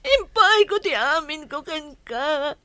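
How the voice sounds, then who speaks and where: A woman's voice: high voice, animated, slow pace, bright, forceful tone, no echo, exaggerated voice. A woman with an Ilocano accent playing a betrayed wife in a radio drama, emotional and accusing. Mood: tearful fury. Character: betrayed wife, wounded and furious.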